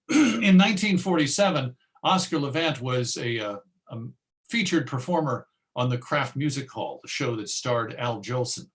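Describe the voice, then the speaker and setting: A man's voice: medium pitch, animated, normal speed. Man presenting an informal talk. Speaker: presenter of a lecture. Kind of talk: informal audio-visual lecture.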